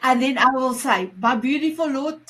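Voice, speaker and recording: A woman's voice: high-pitched, normal speed, fairly bright tone. Effusive woman host. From South Africa. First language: Afrikaans. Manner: effusive, repeats "my beautiful", stumbling restarts. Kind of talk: casual online chat show.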